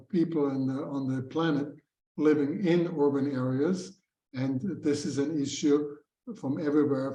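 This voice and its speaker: A man's voice: fairly high pitch, dark tone. A man moderating a video call, passing the word from speaker to speaker. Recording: echoey room.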